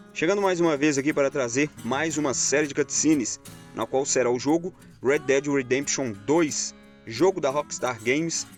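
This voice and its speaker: Brazilian man over guitar music. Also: on the high side. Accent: Brazilian Portuguese. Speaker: gaming channel host. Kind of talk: scripted video introduction.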